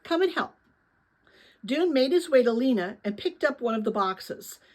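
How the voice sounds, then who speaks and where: A woman narrating a children's novel as a dramatic read-aloud, with an expressive delivery aimed at young listeners. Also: on the high side. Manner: expressive, pauses to address young listeners with questions.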